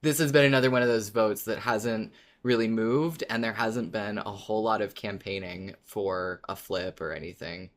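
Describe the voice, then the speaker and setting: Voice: medium pitch, normal speed, hardly any pauses. A podcast co-host talking casually and explaining things in a chatty fan-discussion style.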